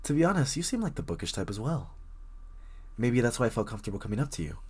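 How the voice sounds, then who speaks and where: A male actor plays a painfully shy, bookish young man in an intimate roleplay audio. His voice is mid-pitched and slightly husky, jumping up and down with nerves. He stammers, rambles and apologises, sweetly flustered as he talks straight to the listener. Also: very animated, quick pace, darkish tone. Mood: shy, chatty. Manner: stammering, hesitant, rambling, apologetic, giggles nervously.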